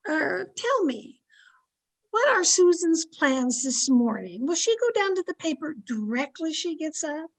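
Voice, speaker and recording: A high-pitched, cheerful older aunt played by a woman in an old-time radio play. She chatters knowingly and insists gently, a born meddler in young people's romances. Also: sing-song, a little slow, heavily acted, poor audio. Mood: cheerful, scheming.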